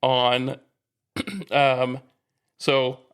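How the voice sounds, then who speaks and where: A man's voice: medium pitch, flat delivery, very slow, fairly bright tone, smooth. A man hosting a sports podcast in casual banter, explaining things knowledgeably and teasing his co-host.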